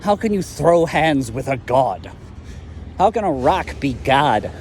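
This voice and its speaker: A man's voice: mid-range voice, sing-song, slow pace, a little hiss or hum. A man voicing a sneering male villain in an amateur audio drama who talks in a condescending, mocking way. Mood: scornful.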